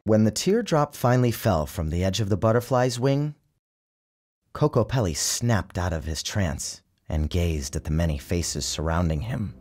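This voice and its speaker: Deep-voiced man giving a dramatic storybook reading. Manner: theatrical, measured, expressive. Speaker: author narrating his own story. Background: music.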